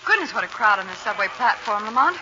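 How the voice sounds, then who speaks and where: A woman's voice: medium pitch, big pitch swings, fast, loud music behind the voice, lightly acted. A woman playing a genteel young lady sleuth in 1940s radio drama, polite, hesitant and dramatic. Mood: breezy. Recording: muffled, noisy 1940s radio recording.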